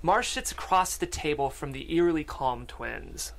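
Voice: mid-range voice, lively intonation, normal speed, creaky. A narrator reading screenplay stage directions aloud at a table read. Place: podcast studio.

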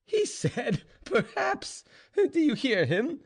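A man's voice: sits high, big pitch swings, moderate pace, voice pushed up. A man voicing a mocking tavern keeper in a storybook reading, taunting and goading in an exclamatory way.

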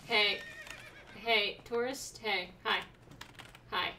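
A woman's voice: mid-range voice, steady tone, bright, forceful tone, recorded from a distance, slight background noise. A young woman streaming a video game, making blunt, sarcastic remarks as she plays. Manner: sarcastic, blunt, quick reactions. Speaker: gaming streamer. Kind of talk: casual let's-play commentary. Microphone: desk mic, webcam.

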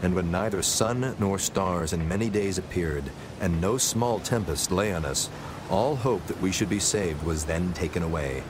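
A man narrating scripture from a script in a measured, solemn manner, as part of a dramatized reading. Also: sits low, noisy background.

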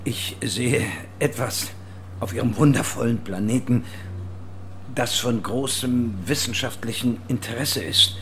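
An actor playing a tactful scientist on an alien planet in an audio drama, speaking carefully and haltingly. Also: male voice, mid-range voice, a bit bright, slight background noise, lightly acted. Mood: tactful, cautious.

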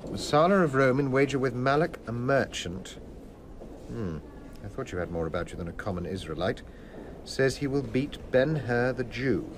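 A man's voice: mid-range voice, expressive, normal speed, noisy background, natural voice. An actor playing an arrogant, mocking Roman nobleman in a radio drama, haughty and condescending. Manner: haughty, sneering.

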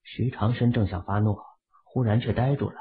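A man's voice: on the low side, steady tone, moderate pace, breathy edge. A man narrating a novel from a script in a dramatic storytelling style, with narrow, muffled sound. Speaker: audiobook narrator.

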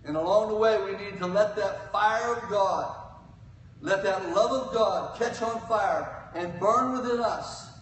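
An older man preaching a sermon from a church pulpit, swinging between loud cries and quiet asides. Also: sits high, unhurried. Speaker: guest preacher. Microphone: pulpit mic, filmed from the pews. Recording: echoey church from far off.

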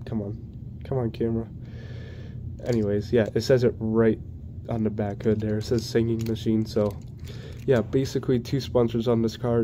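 A young man talks through a casual unboxing while fighting a cold, his voice a bit congested. He speaks in a flat, even tone, stalling often on ums and restarts. The home recording carries clear background noise. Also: mid-range voice. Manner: hesitant, many ums and restarts. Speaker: diecast collector reviewing model cars. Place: indoors at home, plain wall.

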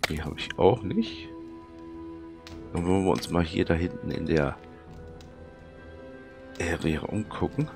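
A man with a cold and a hoarse, thick voice, casually commenting on his gameplay and muttering to himself as he plays. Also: low-pitched, animated, measured pace, pauses often, music in the background. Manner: chatty, muttering to himself while playing. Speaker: let's play commentator.

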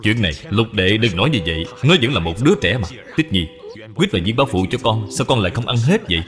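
A man's voice: on the low side, expressive, fast talker, natural voice. A man voicing a kindly, courteous middle-aged general in a cartoon, speaking earnestly. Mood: gentle, admiring. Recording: long reverb, background music.

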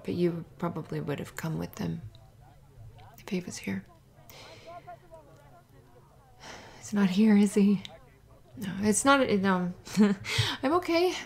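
A woman playing a sweet, flustered young cheerleader in an ASMR roleplay, halting and stammering as she hides that she is upset. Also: mid-range voice. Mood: downcast. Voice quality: creaky, often dropping to breathy whispers. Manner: halting, stammering, nervous little laughs. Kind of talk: ASMR roleplay, spoken to the listener.

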